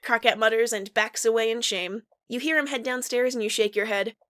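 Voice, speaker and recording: Woman, story narrator, slightly high pitch, scripted fiction reading. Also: fairly even intonation, brisk, fairly bright tone.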